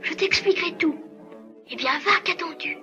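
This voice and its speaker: A woman voicing a lively, cheeky youngster with animated chatter in an old dubbed cartoon film. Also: very high voice, moderate pace. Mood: urgent, reassuring. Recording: muffled old film soundtrack with music.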